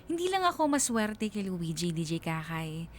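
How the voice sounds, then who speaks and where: A woman's voice: lowish voice, big pitch swings, moderate pace, slight background noise. An actress playing a young college woman narrating her love story in a sentimental, conversational Filipino radio drama. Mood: loving, content.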